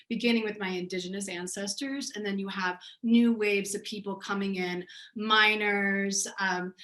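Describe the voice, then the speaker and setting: A woman's voice: medium pitch, fairly bright tone. A woman talking as a novelist on a panel, explaining her writing in a reflective, articulate way. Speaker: novelist on a book festival panel. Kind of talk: reflective talk about own writing.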